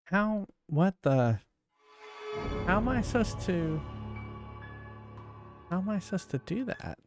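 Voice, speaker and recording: A man's voice: sits high, sing-song, slow pace, music in the background. A man streaming a game live, joking, laughing often and calling out commands.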